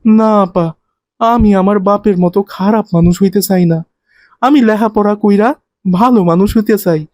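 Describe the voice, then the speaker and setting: A poor abandoned street boy played by an actor in a cartoon. He speaks a rustic Bangladeshi dialect in a humble, plain way, with a mellow, dry sound. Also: mid-range voice, normal speed, no echo, lightly acted. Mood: earnest. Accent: colloquial rural Bangladeshi dialect. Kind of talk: cartoon voice acting.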